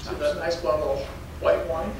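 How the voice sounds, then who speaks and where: A man's voice: on the high side, fairly even intonation, moderate pace, vocal fry. Older man lecturing in an echoey hall. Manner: folksy, anecdotal, chuckles between stories. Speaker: geology professor giving a public lecture. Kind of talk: storytelling slide talk. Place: darkened lecture hall with audience. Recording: very echoey hall, distant mic, faint noise.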